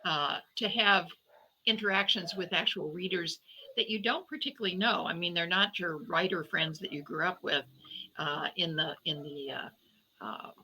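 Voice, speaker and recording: A woman's voice: on the low side, moderate pace, pushed and bright, breathy edge, rough recording. A woman speaking conversationally as an interview guest, reflective and feeling her way through phrases with self-corrections. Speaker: guest novelist and podcaster.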